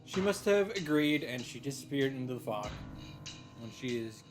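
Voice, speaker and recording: A man hosts a read-through of a visual novel in a medium-pitched voice. He reads the narration aloud and adds casual reactions of his own. Game music plays behind his voice. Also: lively intonation, moderate pace.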